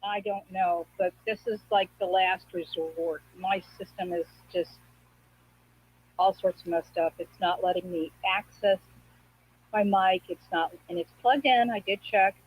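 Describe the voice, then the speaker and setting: A woman's voice: on the low side, unhurried, breathy edge, dull audio. Woman on a call, slightly unclear voice. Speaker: co-host. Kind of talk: casual troubleshooting chat. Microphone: call-in over a messenger app held to a speaker.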